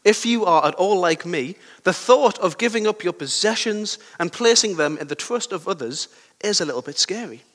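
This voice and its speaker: A young man with a sore throat and a slightly croaky voice, giving a conversational sermon with personal stories and questions to his listeners. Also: high voice, animated, moderate pace, fairly bright tone. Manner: conversational, self-deprecating, asks the listeners questions. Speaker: preacher. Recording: long hall reverb.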